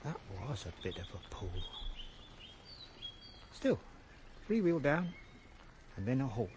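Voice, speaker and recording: A playful, talkative Edwardian shopman with an English accent. His delivery swings widely in pitch and delights in pompous invented words. The radio drama recording has faint noise behind it. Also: big pitch swings, slow pace. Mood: musing, resolute.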